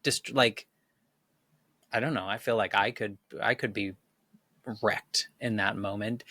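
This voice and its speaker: A man hosting a podcast, asking gentle, hesitant, empathetic questions. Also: lowish voice, fairly even intonation, unhurried, no room sound. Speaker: podcast host. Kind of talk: interview conversation.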